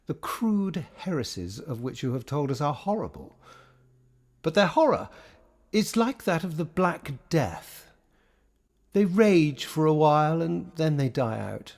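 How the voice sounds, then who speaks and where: A man's voice: fairly high pitch, a little mellow, heavily acted. A man playing a stern, learned medieval bishop in a radio play, formal and declamatory. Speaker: actor.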